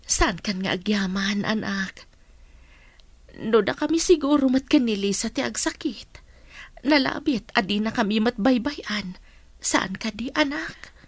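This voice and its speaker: A woman's voice: a little high, lively intonation, measured pace, no room sound. A woman playing a caring, troubled working mother in radio drama dialogue. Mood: gentle concern.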